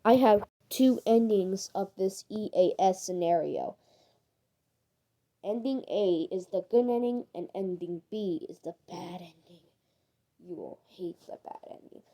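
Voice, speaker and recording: A woman's voice: mid-range voice, unhurried. A woman presenting a scenario as narrator in an explanatory voice-over. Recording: loud background noise.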